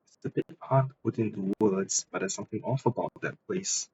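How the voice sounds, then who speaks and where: A man streaming a let's play, reading game dialogue aloud in a husky, creaky voice. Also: on the low side, sing-song, fairly quick, background noise. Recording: slightly dull microphone, faint music behind.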